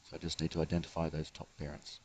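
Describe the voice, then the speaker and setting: Low-pitched man narrating a software demo. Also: completely flat delivery, a little hiss or hum. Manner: halting, step-by-step explaining. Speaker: software developer giving a demonstration. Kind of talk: unscripted screencast tutorial narration.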